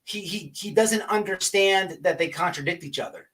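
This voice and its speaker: High-pitched man ranting on a livestream. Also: moderate pace, a bit bright, slightly rough. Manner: profane, slangy, stammering and repeating words. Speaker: livestream host. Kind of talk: casual livestream rant, chatting with viewers.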